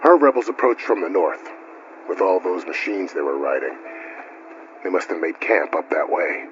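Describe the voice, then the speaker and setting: An actor playing a weary but helpful frontier officer in a video game, explaining things gravely and steadily. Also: male voice, low-pitched, moderate pace, close to an everyday voice. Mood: grave, concerned. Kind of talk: naturalistic game dialogue. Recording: dull game audio with music.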